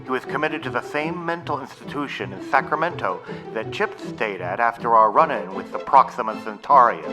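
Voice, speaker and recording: A man's voice: medium pitch, lively intonation, a little fast, over music, natural voice, low-quality recording. Man as a pompous, bossy boy commander. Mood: businesslike. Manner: pompous, formal wordy diction. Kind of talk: audio drama acting.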